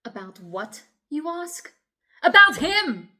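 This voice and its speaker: A woman acting a shaken city woman in a dramatic stage monologue, pleading her account to police in a halting, often hushed voice. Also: on the low side, steady tone. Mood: agitated outburst. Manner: halting, hushed, often breathy near-whisper.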